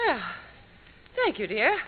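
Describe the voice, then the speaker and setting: Wry, high-voiced radio wife. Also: female voice, wildly swooping pitch, slow pace, background noise, exaggerated voice. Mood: indulgent. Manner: indulgent, wry. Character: patient, wryly amused middle-aged wife and mother. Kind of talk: 1950s radio sitcom acting. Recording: tinny vintage radio with studio audience.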